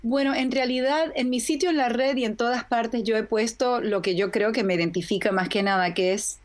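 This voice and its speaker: A woman's voice: a little high, steady tone, a little fast. A middle-aged woman speaking with a neutral Latin American accent in a slightly raised voice. She answers candidly and restarts her phrases as she talks. She is heard over a video call from home. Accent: neutral Latin American Spanish. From Argentine and Puerto Rican roots, raised in Puerto Rico. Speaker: bilingual voice-over artist, guest. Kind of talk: casual interview answers.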